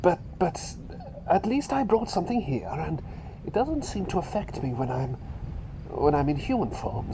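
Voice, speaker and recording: Male actor as talking bird doctor, mild and flustered, soft whispery ASMR, lively intonation, hesitant repetitions, close mic, noticeable background noise. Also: a little high. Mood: gently musing. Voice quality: soft, breathy, often dropping to a whisper. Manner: hesitant, rambling, repeats phrases. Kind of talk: ASMR roleplay, personal attention. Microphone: close ASMR microphone.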